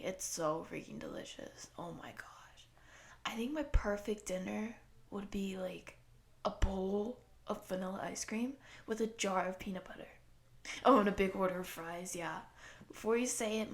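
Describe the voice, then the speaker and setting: A woman's voice: medium pitch, gravelly, natural voice. A woman voicing a goofy young female slime creature who chats casually and directly to the listener in an audio roleplay. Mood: enthusiastic, carefree. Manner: casual slangy chatter with giggles.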